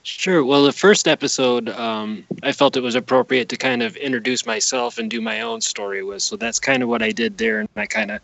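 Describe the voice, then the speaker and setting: A man speaking as an interview guest, explaining his own work in a casual, halting, conversational way. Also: mid-range voice, very animated, gravelly, fairly bright tone, no echo, rough recording. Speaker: podcast guest, himself a podcaster and presenter.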